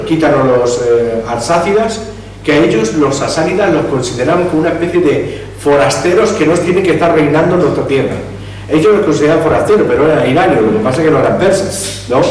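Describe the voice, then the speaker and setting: A man lectures in a roomy hall that rings with strong reverb, picked up from well back. His voice sits a little high for a man as he restarts words and tosses in rhetorical questions. A faint hum runs under the recording. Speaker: lecturer on Iranian history. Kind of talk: public lecture. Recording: echoey hall, distant mic, faint hum.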